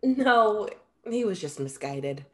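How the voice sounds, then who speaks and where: A spirited Greek muse, played by a young woman in a staged reading. Her pitch swings widely as she speaks in formal, theatrical phrases, proud and playful. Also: very animated, slow pace, a little mellow. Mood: assertive. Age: young adult. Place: indoor room, video call.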